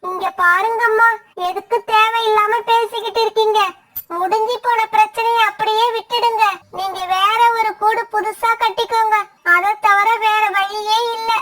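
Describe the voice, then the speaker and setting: A tiny, timid female bird voiced by a woman with an extremely high, childlike pitch. She speaks cautiously and resignedly, as a fearful character in a children's cartoon story. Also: little pitch movement, normal speed, pushed and bright. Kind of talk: animated moral story dialogue.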